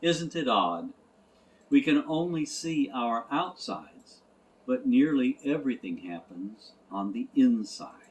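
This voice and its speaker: A man reading a storybook as narrator, in a gentle, measured way. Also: medium pitch, animated, low-quality recording. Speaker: audiobook narrator.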